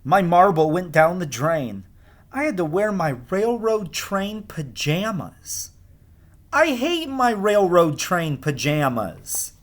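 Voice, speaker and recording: A man's voice: sits high, big pitch swings, slow pace, voice pushed up, exaggerated voice. A man with an American accent voicing a whiny, aggrieved young boy from a storybook, complaining with exaggeration. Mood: whiny, exasperated.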